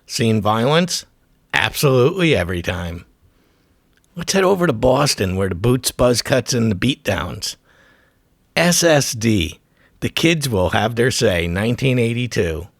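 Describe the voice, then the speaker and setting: Gravelly male documentary narrator, animated and punchy. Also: medium pitch, unhurried, dry recording, clean studio sound. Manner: emphatic short punchy phrases. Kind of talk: scripted narration, punchy and profane.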